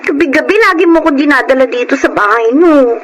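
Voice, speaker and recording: A woman acts out a lovestruck Filipino teenage daughter in a radio drama. Her voice is extremely high, petulant and whining. Clear noise sits on the recording. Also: brisk, natural voice. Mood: complaining.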